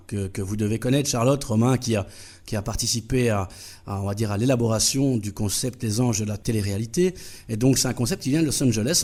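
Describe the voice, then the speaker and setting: A man's voice: medium pitch, a little mellow. A man, guest on a radio show, talking casually through a prank call, rambling and repeating his phrases.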